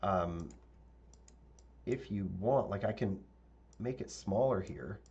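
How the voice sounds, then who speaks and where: Male architect, low voice, a bit raspy, relaxed live-stream teacher thinking aloud, dull lo-fi audio with keyboard typing behind. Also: slow. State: slightly raspy voice, clearing throat. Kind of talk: live software tutorial, chatting with viewers.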